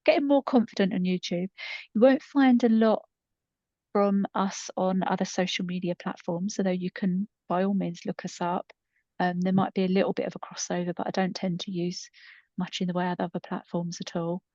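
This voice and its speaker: A middle-aged woman with a fairly low voice chats casually as a podcast co-host. She explains things in a conversational, unscripted way. The sound is close and dry but somewhat dull. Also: fairly even intonation, moderate pace, dark tone, no echo. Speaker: podcast co-host and storytelling creator.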